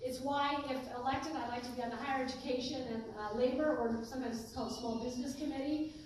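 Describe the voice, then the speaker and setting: Woman candidate fielding town-hall questions, higher pitch, earnest and firm; distant mic, roomy echo. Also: fairly even intonation, fast talker, a little mellow. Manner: earnest, conversational, emphatic when defending herself. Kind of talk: answering audience questions at a political town hall. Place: community town hall meeting room. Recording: echoey hall, voice from far off, noisy.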